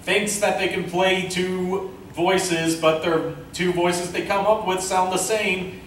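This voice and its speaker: A man presenting an informal convention panel, speaking haltingly as he thinks aloud. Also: high voice, fairly even intonation, a bit bright. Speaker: panel presenter, amateur director and voice actor. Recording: echoey room from far off, faint noise.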